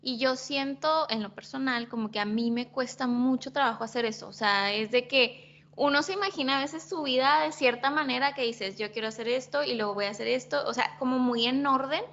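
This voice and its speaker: A woman's voice: high-pitched, a little fast, a bit bright. A woman co-hosting a podcast, musing reflectively with many fillers. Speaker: podcast co-host. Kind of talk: casual podcast chat. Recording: dull lo-fi sound.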